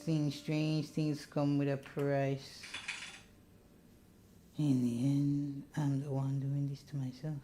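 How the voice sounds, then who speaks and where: A woman's voice: rumbling low, level tone, slow pace, mellow, a little hiss or hum. A woman voicing a world-weary, grumbling male private investigator of thirty in a visual novel.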